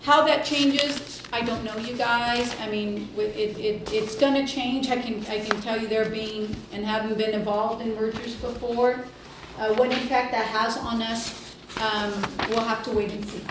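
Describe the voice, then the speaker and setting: Female executive, fairly high voice, halting board-meeting report, very echoey room with distant mic. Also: steady tone, background noise. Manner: hesitant, halting delivery with long pauses. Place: indoor public meeting room.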